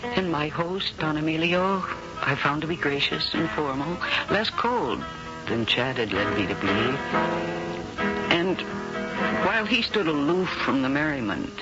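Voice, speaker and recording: A woman's voice: deep voice, unhurried. An actress playing a curious young American woman who asks eager questions and also narrates wistfully, in a 1970s radio drama. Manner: inquisitive, lively, wistful narration. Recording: dull 1970s radio recording, loud music.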